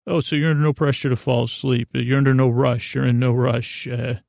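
A man hosting a bedtime podcast in a halting, digressive monologue, goofy and self-deprecating, with a creaky voice. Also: medium pitch, little pitch movement, a little mellow, no echo, clean studio sound. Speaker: podcast host.

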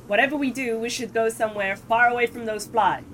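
A woman's voice: a little high, fairly quick, fairly bright tone. A woman player casually roleplaying and describing her character's actions in a tabletop game. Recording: faint background noise.

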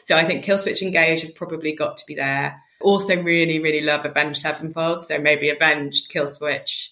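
A woman in her late thirties from Wales talks as an interview guest. Her voice sits low and has a bright, forward edge. She is chatty and informal, in relaxed podcast conversation. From rural mid Wales. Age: late 30s. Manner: chatty, informal, quick to laugh. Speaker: interview guest, charity founder. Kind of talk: casual podcast chat.

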